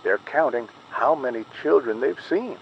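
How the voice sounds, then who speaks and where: A man reading a scripted horror emergency advisory in a grave, deliberate, ominous official announcer style. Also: mid-range voice, expressive, creaky, dull audio, slight background noise. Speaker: narrator of an analog horror emergency broadcast.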